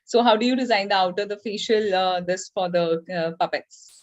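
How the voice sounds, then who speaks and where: A woman's voice: mid-range voice, moderate pace. Polite woman host, dull lo-fi audio. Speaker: host relaying children's questions. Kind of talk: live online event moderation. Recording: dull lo-fi online call audio.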